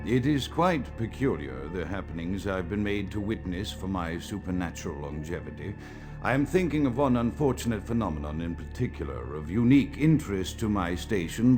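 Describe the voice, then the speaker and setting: An actor playing an ancient, mad vampire psychiatrist in a video game, delivering a formal, pompous, archaic monologue. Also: male voice, low voice, expressive, brisk, a little mellow. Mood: learned, aggrieved. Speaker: recorded audio diary narrator in a video game. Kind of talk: theatrical scripted monologue. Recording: faint music behind the voice. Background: faint music.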